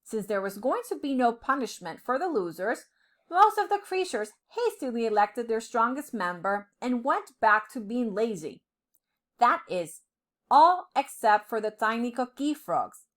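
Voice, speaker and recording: A woman reading a children's storybook aloud with dramatic, deliberate phrasing, as a storyteller. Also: a little high, big pitch swings. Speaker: storyteller, professor.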